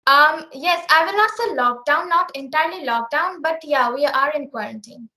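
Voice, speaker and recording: A woman's voice: sits high, normal speed, sharp tone. A teenage girl from India with an Indian English accent, a student practicing conversation and thinking aloud with fillers on a video call. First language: Bengali. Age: teens.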